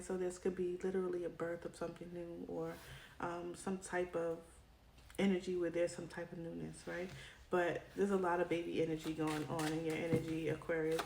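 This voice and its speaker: A woman's voice: on the low side, vocal fry. A woman giving a casual tarot reading straight to her viewers, her voice tiring as she comes down with a cold. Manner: chatty, addresses viewer directly with frequent "right?". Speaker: tarot reader. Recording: echoey room with card shuffling noise. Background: clicks and shuffling cards.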